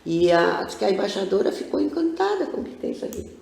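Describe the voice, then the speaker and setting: A woman's voice: mid-range voice, fast, creaky. An older woman, a guest telling rambling stories with many asides in a casual TV interview. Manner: animated, rambling storyteller with frequent asides. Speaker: guest, local cultural figure. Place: TV studio. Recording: roomy studio echo.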